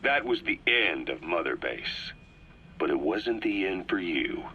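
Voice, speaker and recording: Forceful, raspy, cold interrogator. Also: male voice, low voice, very animated, highs cut off, heavily acted. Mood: cold accusation. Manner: deliberate, menacing, dramatic. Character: cold, accusing, calculating male military interrogator. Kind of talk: dramatic video game cutscene acting.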